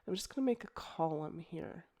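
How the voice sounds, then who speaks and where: A middle-aged woman with a croaky voice from a cold, chatting casually as she explains a scrapbooking project, with ums and restarts. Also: fairly low pitch, moderate pace. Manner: relaxed, chatty, thinking aloud with ums and restarts. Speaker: scrapbooking crafter. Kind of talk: casual crafting tutorial voice-over.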